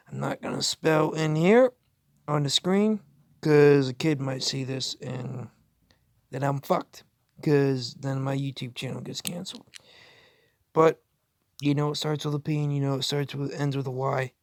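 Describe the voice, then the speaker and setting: A man's voice: mid-range voice, vocal fry, no room sound. Blunt, rambling man in a dry close recording. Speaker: reaction video YouTuber. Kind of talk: casual unscripted reaction commentary with swearing.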